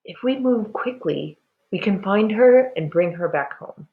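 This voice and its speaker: A woman voicing a steady, thoughtful storybook father in a hushed, measured manner. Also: mid-range voice, phone-quality sound. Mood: hushing, urgent.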